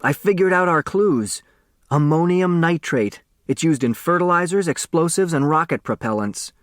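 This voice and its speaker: A man voicing an earnest, insistent high school boy with an urgent manner in an audiobook. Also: animated, normal speed, no echo, clean studio sound, natural voice. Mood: eager.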